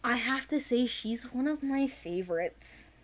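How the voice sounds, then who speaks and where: A woman's voice: on the high side, lively intonation, a little slow. A woman speaking casually and off the cuff, explaining things in an informal, chatty way as a creator presenting a project. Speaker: animated series creator presenting characters for auditions. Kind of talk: casual unscripted project discussion.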